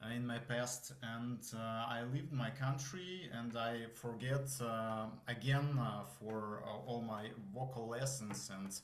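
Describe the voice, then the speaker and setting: Slow, halting Russian-accented man. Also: mid-range voice, fairly even intonation. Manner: halting, searching for words, many restarts. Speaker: voice student guest. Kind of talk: podcast interview. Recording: echoey room.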